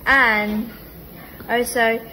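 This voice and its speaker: A young woman with a slow, unhurried delivery talks playfully to camera. She sounds casual and jokey, chatting as a guest. The phone recording carries some faint room noise. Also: on the high side, level tone, pushed and bright. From Philippines-born, grew up in America. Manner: joking, playful put-on accents. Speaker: guest niece introducing herself on her aunt's vlog. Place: living room indoors, on a sofa. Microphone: phone a short distance away. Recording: clearly noisy home phone recording.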